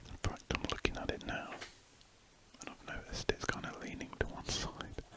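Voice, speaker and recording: A man whispering softly and rambling a little as he chats while drawing for an ASMR video, close to the mic indoors. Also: normal speed. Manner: soft rambling whisper with self-corrections. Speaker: ASMR drawing channel host.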